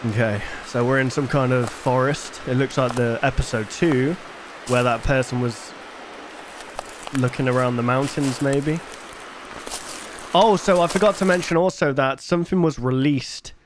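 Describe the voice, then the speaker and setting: A man's voice: mid-range voice, steady tone, moderate pace, noisy background. A man in his twenties giving casual reaction commentary into a close desk microphone. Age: 20s. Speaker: reaction video host. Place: bedroom gaming setup, indoors.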